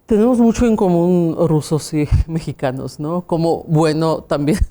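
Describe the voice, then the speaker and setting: Interviewee: Russian-accented woman, music teacher, warm low-key timbre, reflective. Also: measured pace. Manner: reflective, often ending with a questioning tag, small grammar slips. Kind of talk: conversational interview answers.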